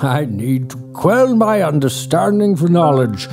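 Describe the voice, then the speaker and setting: A man's voice: a little high, sing-song, unhurried, creaky, exaggerated voice. An actor playing an obsessive retired male doctor in an audio drama, speaking in a clipped manner. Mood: obsessed. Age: older. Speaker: retired scholar. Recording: music score underneath.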